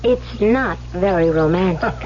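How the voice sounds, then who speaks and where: A woman's voice: lowish voice, a little slow, a little mellow, soft background music, close to an everyday voice. An actress playing a naive, easily rattled young woman in an old-time radio drama, girlish and hesitant. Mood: curious, teasing. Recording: dull old radio recording.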